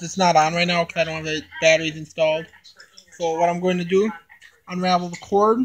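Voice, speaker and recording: A young man giving a casual unboxing demonstration, explaining things in a halting, repetitive way into a handheld camera. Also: high voice, low-quality recording. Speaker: hobbyist video maker. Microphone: handheld camera, no tripod.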